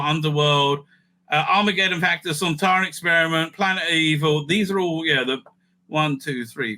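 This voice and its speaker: A man's voice: on the high side, sharp tone. A man hosting a casual, off-the-cuff ranking video, rambling and interrupting himself as he thinks aloud. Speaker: video host ranking TV stories.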